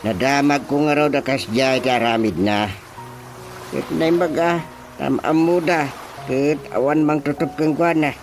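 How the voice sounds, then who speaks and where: A man's voice: a little high, unhurried, faint music behind. A man playing a bossy, blustering village chairman in a radio drama comedy, with an Ilocano accent. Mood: concerned.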